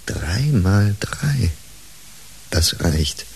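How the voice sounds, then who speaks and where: An actor playing a controlled, concerned psychotherapist in a radio drama, measured, questioning and gently admonishing. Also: male voice, sits low, very animated, gravelly, full character voice. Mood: probing, calm. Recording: hissy radio drama recording.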